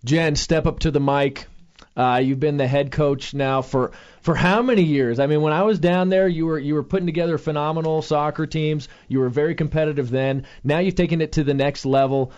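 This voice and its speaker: An energetic man hosts a sports radio show, with a fairly high voice for a man. He rambles with fillers and restarts. The sound is somewhat dull and lo-fi. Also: fairly quick. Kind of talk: casual sports radio talk.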